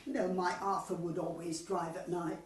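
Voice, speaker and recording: A woman's voice: fairly low pitch, flat delivery, normal speed, lightly acted. A woman playing a dithery, chatty older English widow in an amateur radio comedy, rambling and apologetic. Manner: rambling, hesitant, wistful. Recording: echoey, distant mic, faint noise.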